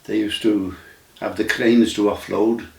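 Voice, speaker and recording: Guy in his 90s telling old stories, keeps restarting, low voice, echoey room. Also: little pitch movement, gravelly, a little mellow. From Wales. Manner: halting, with many restarts and fillers. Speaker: interview guest. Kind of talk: casual storytelling of memories. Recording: roomy sound from a distant mic.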